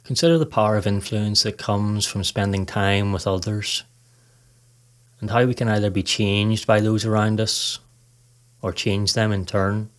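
A man narrating a scripted guided meditation in a calm, measured, instructional way. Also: lowish voice. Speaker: podcast host, meditation guide.

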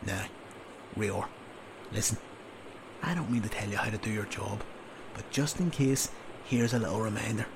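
A loud, sardonic army sergeant, as a male narrator puts him on, barking orders in a slow, mocking drawl. The voice has a gravelly edge, read as a storybook character, with a faint hiss behind it. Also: on the low side. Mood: gruff orders. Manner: loud, sardonic, drawn-out scolding. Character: gruff blustering male army sergeant, sarcastic. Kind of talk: audiobook character voice.

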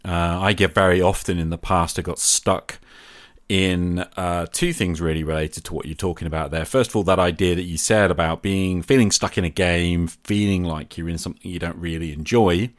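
A man hosting a podcast, speaking reflectively in deliberate short phrases and posing rhetorical questions. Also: low-pitched, steady tone. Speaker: podcast host. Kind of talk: reflective solo podcast monologue.

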